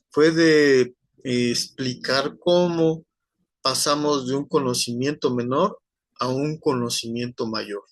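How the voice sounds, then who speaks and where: A man's voice: mid-range voice, no echo. A man teaching an online class, rambling, with many fillers and tag questions.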